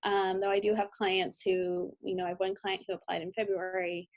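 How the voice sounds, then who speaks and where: A woman with an American accent presenting training slides as a lawyer, instructional and informal, with frequent hesitant pauses and ums. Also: mid-range voice, level tone. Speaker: immigration lawyer giving training.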